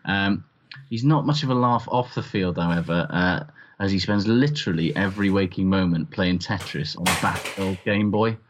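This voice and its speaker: A man's voice: deep voice, normal speed, slight background noise. A man from Wales chatting casually and comically as a co-host, hedging as he goes and easily amused. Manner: hedging, conversational, readily amused. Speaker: podcast co-host.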